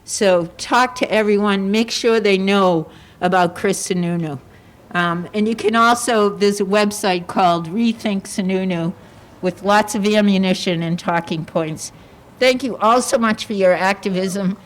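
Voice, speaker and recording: A woman's voice: mid-range voice, measured pace. A woman giving an indignant, urging speech at a political rally. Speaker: rally speaker.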